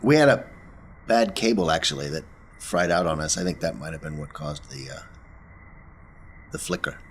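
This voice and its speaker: A man's voice: extremely low pitch, normal speed, slight background noise. A man taking part in a tabletop role-playing game with casual table talk.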